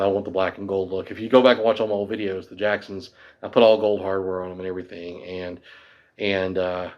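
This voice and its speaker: A man's voice: fairly low pitch, dull audio. A man in his fifties talking casually to camera about guitars, chatty and rambling with a self-deprecating streak. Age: 50s. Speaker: guitar teacher.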